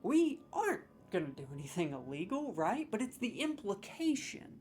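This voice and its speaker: A man's voice: sits high, huge pitch swings, normal speed, a little mellow. Sarcastic man mock-judging with faint music. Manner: sarcastic, mock-serious, playfully exaggerated. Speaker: comedic judge scoring game voice lines. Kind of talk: scripted comedy commentary.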